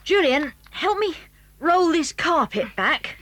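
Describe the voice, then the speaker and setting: A woman's voice: high voice, big pitch swings, takes it slowly, a bit bright, no echo, heavily acted. A woman playing an eager, confident English schoolboy in a children's audio drama. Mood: confident, brisk.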